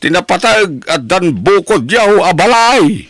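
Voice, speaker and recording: Casting: male actor for scandalised elder village uncle, Ilocano accent, very lively melody, close dry sound, radio drama. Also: sits high, measured pace, dry recording, exaggerated voice. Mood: friendly, chatty. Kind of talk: amateur Ilocano radio-style drama acting.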